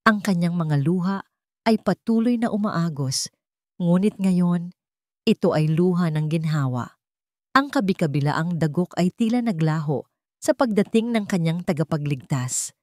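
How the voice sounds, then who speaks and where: A woman narrator reading a scripted romantic drama story in measured, short phrases. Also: fairly low pitch, animated, a little mellow, dry recording, studio quality.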